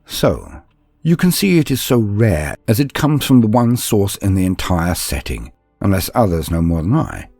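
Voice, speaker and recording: Husky low voiced guy doing dramatic lore narration, very formal and grand, music behind. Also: big pitch swings, normal speed, darkish tone, no room sound. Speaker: host of a lore channel and storyteller.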